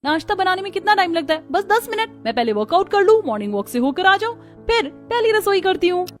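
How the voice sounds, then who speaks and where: A woman's voice: very high voice, very animated, fast talker, faint music behind. A woman playing a breezy, self-assured young city daughter-in-law in a scripted cartoon.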